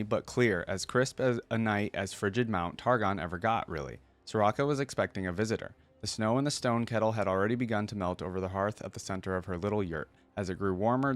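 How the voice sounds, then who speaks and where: Low-voiced man in a dry, close recording. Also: no room sound. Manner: thinks out loud, rambling asides and questions. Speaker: reaction video host. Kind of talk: casual reaction commentary mixed with reading lore aloud.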